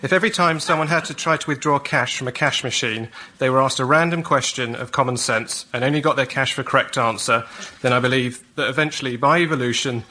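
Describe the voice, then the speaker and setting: A man, a member of the public pitching an idea on a comedy show. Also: fast, bright, forceful tone. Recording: live auditorium, slight background noise.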